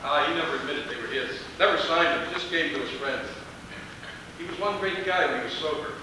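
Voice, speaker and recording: A man's voice: on the high side, fairly quick, close to an everyday voice. A man acting on stage as a bitter, resentful young son of a painter, accusing in manner, recorded from far off in a reverberant, noisy hall. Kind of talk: amateur stage play acting.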